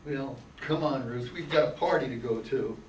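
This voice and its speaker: Distant, slightly noisy, male actor as stubborn restless young man, small-town radio drama, animated pitch. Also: normal speed, close to an everyday voice. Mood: curious, hearty. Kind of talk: old-time radio drama acting. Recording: distant echoey room, noisy hiss.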